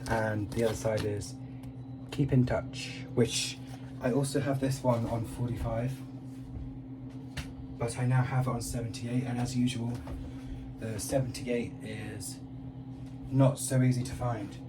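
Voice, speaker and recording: A man who collects records, presenting an unboxing in a casual, halting way with pauses between phrases. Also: medium pitch, little pitch movement. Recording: distant mic with clear background noise.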